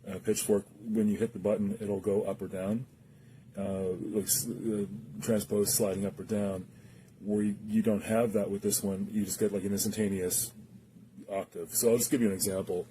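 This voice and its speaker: A man giving a casual, unscripted product review as a guitar pedal reviewer, speaking in a hesitant, halting way with thoughts that trail off. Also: fairly low pitch, flat delivery, mellow, slight background noise.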